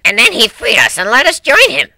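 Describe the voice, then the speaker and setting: Reader putting on a loud, high little dog. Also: wildly swooping pitch, brisk, vocal fry, no room sound, full character voice. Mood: eager. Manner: forthright introduction. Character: short, talkative male dog, freed slave.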